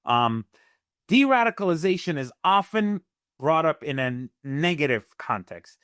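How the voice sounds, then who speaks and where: A man's voice: a little high, very animated, measured pace, a bit bright, clean tone, no room sound, clean studio sound. A man from Gaza whose first language is Arabic, speaking earnestly as a guest analyst in an interview, often repeating words as he starts a sentence.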